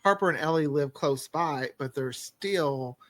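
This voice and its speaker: A man's voice: fairly high pitch, a little slow, a bit bright, no room sound. A man who writes for an audio drama, chatting casually in a rambling, talkative way in a group discussion. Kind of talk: casual behind-the-scenes group chat.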